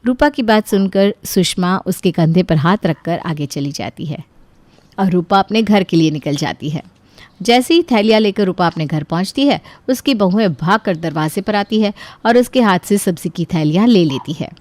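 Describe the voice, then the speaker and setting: A woman reading scripted narration for an animated family drama as a storyteller. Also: on the low side, normal speed, dry recording.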